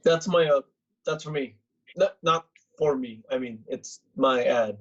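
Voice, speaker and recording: A man playing a sweet, awkward, stammering young man with a husky voice in a comedic script read. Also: medium pitch, a little slow. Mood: nervous. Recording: dull lo-fi video-call audio.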